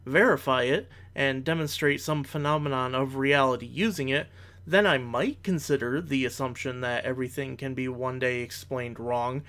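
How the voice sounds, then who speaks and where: A man's voice: on the high side, fairly bright tone. A man giving argumentative reaction commentary in formal, analytical language, as a video creator.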